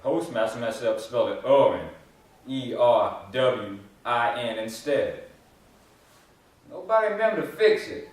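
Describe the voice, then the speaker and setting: A man's voice: medium pitch, expressive, a little slow, natural voice. A man playing a dry, folksy small-town local in a readers theater monologue. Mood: wry. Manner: laconic, folksy. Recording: very echoey hall, distant microphone.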